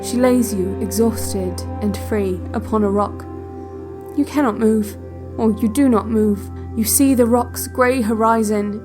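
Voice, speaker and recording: A woman playing a controlled, quietly ominous relaxation-cassette narrator, guiding a visualization in a measured, hushed, detached way over faint music. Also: fairly high pitch, fairly even intonation, close to an everyday voice. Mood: hypnotic, eerie. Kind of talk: slow guided visualization, scripted audio drama.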